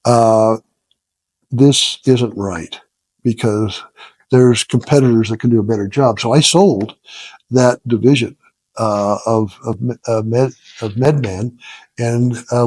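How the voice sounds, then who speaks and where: A man in his seventies telling his story as an interview guest, casual and reflective, with a halting, self-deprecating way of talking and frequent chuckles. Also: mid-range voice, darkish tone, slightly rough, dry recording. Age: 70s. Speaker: interview guest, retired business founder.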